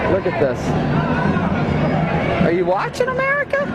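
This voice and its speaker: A man's voice: very high voice, huge pitch swings, moderate pace. A man speaking as a protest activist and citizen reporter, declamatory and pleading, making an impassioned speech out on a city street. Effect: bullhorn amplification. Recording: very noisy outdoor street, loud crowd, traffic.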